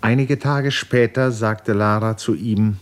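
An actor is a grave male storyteller in a 1950s German radio play. He narrates a tragic novel with measured, literary weight, very slowly and in a fairly low register. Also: steady tone, lightly acted. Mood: grave, tense.

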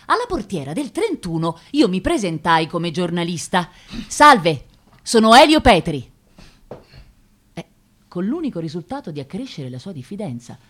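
An actress playing a persistent male investigative journalist, telling of an inquiry in articulate, theatrical narration. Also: mid-range voice. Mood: vivid, animated. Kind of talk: theatrical narration of a written memoir.

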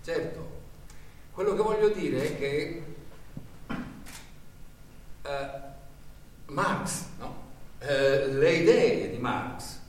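Halting, high-voiced rambling worker, very echoey. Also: male voice, lively intonation. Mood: earnest, groping. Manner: halting, stammering, repeats himself, searches for words. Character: rambling working-class man earnestly defending Marx. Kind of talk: theatrical stage monologue in a radio broadcast. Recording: very echoey stage, distant mic, faint noise.

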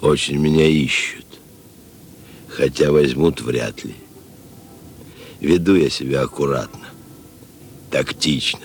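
An actor playing a cynical, wary criminal on the run in a radio play, bossy and terse in street slang. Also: male voice, a bass voice, takes it slowly, long pauses, slight background noise, full character voice. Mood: wary, domineering. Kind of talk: Russian radio play acting.

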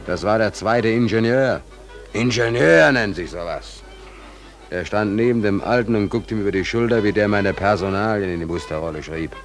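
A man's voice: lowish voice, normal speed, lightly acted. A man playing a stubborn, wronged sailor who protests in an old 1940s radio play. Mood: bitter. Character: wronged, indignant male sailor signed on as a ship's trimmer. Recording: vintage 1940s radio recording with music.

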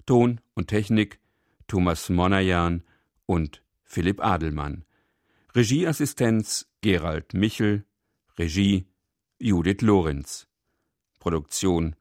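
A man gives a formal scripted radio announcement. His voice is very low and he takes his time between items. The sound is dry and studio-close. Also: takes it slowly, a little mellow, dry recording. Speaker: radio announcer reading credits.

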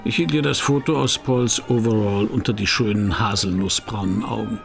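An actor playing a wry, probing private detective who narrates and questions in a German radio crime drama, dry and laconic, with a gravelly voice. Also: male voice, fairly low pitch, steady tone, moderate pace, faint music behind. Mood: narrating, wry. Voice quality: gravelly, creaky.

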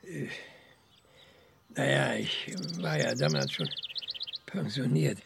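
An actor playing an older, hot-tempered retired space scientist in a radio drama, with a halting, blustering manner. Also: male voice, medium pitch, huge pitch swings, creaky, heavy background noise, heavily acted. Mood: hesitant, reflective. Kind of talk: German radio drama acting.

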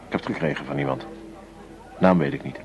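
An actor in a radio drama playing a guarded counterfeit dealer, talking in terse, needling phrases. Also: male voice, a bass voice, quick pace, background noise. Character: guarded male counterfeit dealer working for a gang. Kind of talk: Dutch radio drama dialogue.

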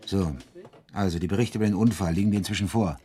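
An actor playing a gruff, dry police detective, brusque and authoritative, in a radio drama. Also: male voice, low voice, fairly even intonation, fast talker, mellow, natural voice. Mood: dry, businesslike. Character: gruff, dry, commanding male police detective, middle-aged son. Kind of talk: German radio drama acting.

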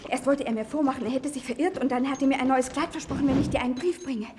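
A woman's voice: high-pitched, steady tone, quick pace, a little mellow, a little hiss or hum, natural voice. An actress playing a timid young servant girl in a radio play, hesitant, deferential and easily flustered. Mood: agitated. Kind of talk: German radio play acting.

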